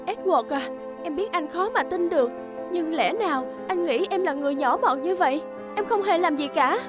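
A woman voicing a gentle, patient young stepmother in a cartoon, soft and earnest. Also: very high-pitched, animated, normal speed. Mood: flustered, hurt. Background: background music.

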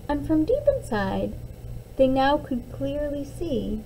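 Woman reading a kids book out loud, soft mellow tone, very animated pitch. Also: takes it slowly, a little hiss or hum, poor audio. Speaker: teacher reading a picture book aloud. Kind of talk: expressive storybook read-aloud.

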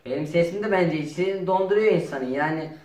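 A teenage young man, bantering casually and deadpan in an improvised comedy skit. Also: on the high side, a little fast, recorded from a distance. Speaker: performer in a family comedy skit. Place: home dining room. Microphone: camera a few metres away. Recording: roomy echo with faint noise.

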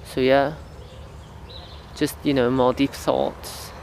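A man's voice: mid-range voice, level tone, slow pace, vocal fry, darkish tone. Young man musing on an outdoor walk. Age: young adult. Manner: reflective, rambling, frequent "like" fillers. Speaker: vlogger. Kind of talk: casual walking vlog. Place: outdoors by a riverside path. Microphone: wireless earbuds. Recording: outdoor street noise. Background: traffic and outdoor ambience.

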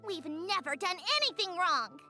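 A woman's voice: very high voice, animated, normal speed, over music. A woman voicing an earnest, cute little creature girl in a game, with a childlike voice. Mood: pleading, defiant. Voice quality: childlike, cutesy.

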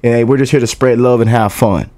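A young American man talks into a headset mic in a small room. He chats loosely and repeats himself, keeping the pitch mid-range. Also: fairly even intonation, quick pace, mellow. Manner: chatty, repeats "you know what I'm saying". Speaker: reaction video host. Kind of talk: casual reaction commentary.

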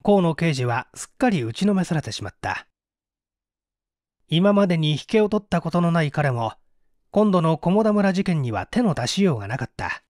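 A man reading a mystery story as a narrator, in a measured, dramatic storytelling style. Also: on the high side, big pitch swings, darkish tone, no echo, studio quality. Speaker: audiobook narrator.

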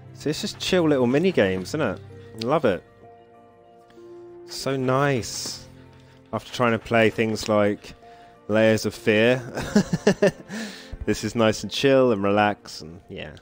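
A man's voice: mid-range voice, expressive, takes it slowly, pauses often. A man streaming a game at home, chatting casually, musing aloud and reading on-screen story text, with frequent chuckles. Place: home, at the computer. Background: faint game music.

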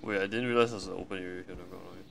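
A man's voice: low-pitched, gravelly, background noise. A man with a Malaysian English accent chatting and swearing while playing a shooter game with friends. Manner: swears a lot, quick excited reactions. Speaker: streamer playing with teammates. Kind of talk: casual gaming chat.

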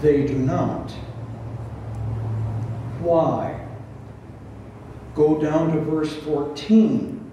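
A man in his sixties preaching an expository Bible study, reading and explaining scripture in a deliberate, teacherly way with rhetorical questions. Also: a little high, fairly even intonation, dark tone. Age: 60s. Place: barn hall with a stage, indoors. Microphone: headset. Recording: roomy, echoing, distant sound with faint noise.

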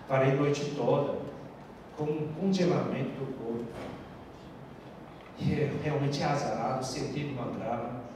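A middle-aged man, a pastor giving an earnest sermon testimony into a handheld mic on a church stage, with halting phrasing and frequent restarts. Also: medium pitch. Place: church stage with large hall. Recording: echoey hall from far off with background noise.

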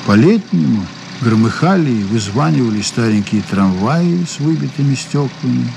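An actor playing a composed, businesslike police investigator in a radio drama, measured and courteous. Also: male voice, medium pitch, animated, gravelly, background noise. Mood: reflective narration. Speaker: actor and narrator in a radio play. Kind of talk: 1980s Soviet radio drama acting.

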